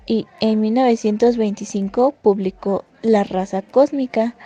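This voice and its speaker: A woman with a soft-edged, mellow timbre delivers a prepared educational talk. She presents like a student, setting out her material in order. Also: mid-range voice, dull audio.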